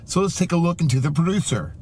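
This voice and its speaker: A man narrating a researched commentary video in a measured, explanatory way. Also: fairly high pitch, lively intonation, a little mellow, slight background noise. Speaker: YouTube commentator.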